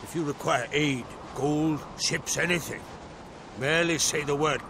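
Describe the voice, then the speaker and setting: An actor playing an older island king and father in a video game cutscene, speaking in a steady, measured, paternal way. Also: on the high side, noisy background. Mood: calm, paternal. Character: older jarl, father of two grown children. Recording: game audio with music behind.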